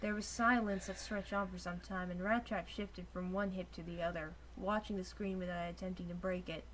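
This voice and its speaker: A woman's voice: lowish voice, steady tone, a little fast, fairly bright tone. A young woman reading fan fiction narration aloud in an amateur style, with casual asides to friends. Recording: clearly noisy amateur home recording with clicks.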